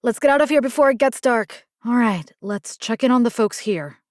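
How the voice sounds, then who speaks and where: A woman's voice: a little high, moderate pace, no echo, clean studio sound, natural voice. An actress playing a plucky, wry young woman rescue fighter in a video game, speaking in a casual, sardonic way. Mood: urgent, determined.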